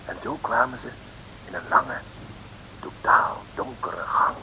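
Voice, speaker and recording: An actor playing a droll storyteller, narrating an adventure serial for radio with mock-dramatic suspense. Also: male voice, medium pitch, a little slow, gravelly, bright, forceful tone, exaggerated voice, poor audio. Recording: faintly hissy old radio recording.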